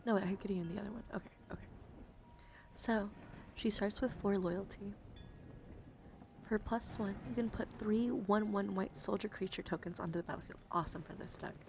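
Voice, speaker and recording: A woman streamer speaking softly and playfully in an ASMR style, close to the microphone, her breathy voice often dropping into a whisper. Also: medium pitch, brisk. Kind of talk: soft-spoken ASMR card showcase. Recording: clear background noise.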